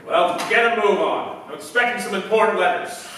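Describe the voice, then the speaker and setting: A man's voice: high-pitched, a little fast, pushed and bright, distant mic. A man playing an ornery, brusque neighbor in a 1940s style radio drama. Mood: impatient, barking. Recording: echoey stage from afar, faint noise.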